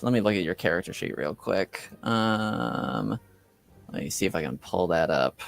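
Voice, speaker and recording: A man running a tabletop game as game master, narrating and refereeing in a halting way as he searches for words. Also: deep voice, big pitch swings, vocal fry, faint music behind.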